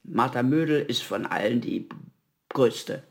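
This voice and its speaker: Creaky-voiced man giving reflective comment. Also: mid-range voice, unhurried.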